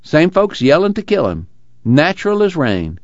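A narrator plays an earnest organizer in an audiobook. His voice is pitched high and swings eagerly as he pleads and preaches in folksy dialect. The sound is close and dry, slightly dull. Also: huge pitch swings, normal speed, dry recording. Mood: weary, reflective. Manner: rural uneducated American dialect, pleading and preaching. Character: earnest, excitable, weary labor organizer, folksy man.

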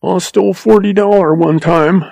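Man putting on a high quavering voice, cringing little telegraph agent, blubbering and pleading, gravelly. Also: normal speed, warm tone, no echo, full character voice. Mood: pleading, terrified.

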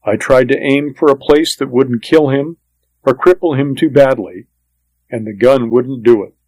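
Man, mid-pitched voice, western audiobook narration, first person, plain delivery, dry close sound. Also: moderate pace, a little mellow, dry recording, rough recording.

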